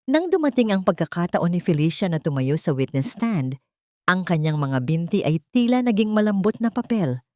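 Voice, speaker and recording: A woman's voice: fairly low pitch, sing-song, moderate pace, mellow, dry recording, clean studio sound. A woman narrating a scripted audio drama in a solemn, literary way.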